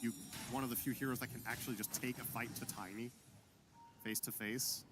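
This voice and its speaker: A man giving analytical commentary on a video game match, measured and explanatory, often pausing with ums. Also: mid-range voice, steady tone. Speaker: color analyst. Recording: broadcast commentary over game music.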